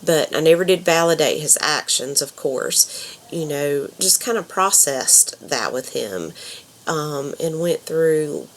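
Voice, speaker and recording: Young woman, congested from a cold, low voice, halting casual delivery full of fillers. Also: lively intonation. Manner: hesitant, many ums and you knows. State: stuffed up from a cold. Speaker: counselor.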